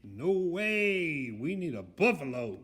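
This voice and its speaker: A man voicing a bossy, cheerful, bumbling storybook character with loud enthusiasm. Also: fairly high pitch, big pitch swings, slow pace, slight background noise, full character voice. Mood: bossy, excited.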